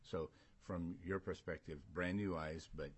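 An American man chairing a government committee meeting, speaking informally in a halting, folksy and courteous way. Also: sits low, fairly even intonation. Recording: noticeable background room noise.

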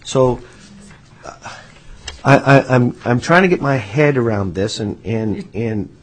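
A man's voice: medium pitch, slow pace. A legislator at a hearing, a man who questions a witness with frequent restarts and repeated words. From United States. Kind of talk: formal hearing testimony.

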